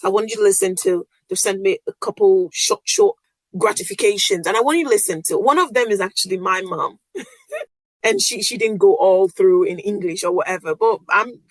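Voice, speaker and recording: A woman's voice: medium pitch, fairly quick, no room sound, poor audio. Chatty Nigerian woman podcasting. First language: Yoruba. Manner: chatty and upbeat, laughs often, lots of fillers. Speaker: podcast host. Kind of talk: casual solo podcast chat.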